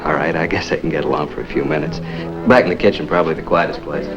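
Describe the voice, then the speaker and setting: Cheery hospitable 50s dad, welcoming, muffled old film sound, music blaring. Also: male voice, extremely low pitch, quick pace. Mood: hospitable, cheerful. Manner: eager, welcoming, polite. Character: hospitable, cheerful proud new father hosting a party. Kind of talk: 1950s police drama acting. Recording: dull vintage soundtrack, loud party music.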